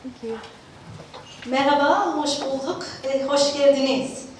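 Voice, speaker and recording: Woman from Turkey, higher voice, conference speaker, expressive and chatty, heartfelt informal delivery, very echoey room. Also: measured pace. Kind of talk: informal personal presentation. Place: large echoey room at a live event. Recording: echoey hall, distant mic, faint noise.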